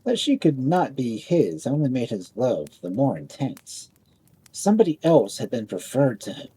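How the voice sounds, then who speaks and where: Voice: medium pitch, sing-song, normal speed, mellow, slight background noise, poor audio. A storyteller reading a scripted fairy tale as narration.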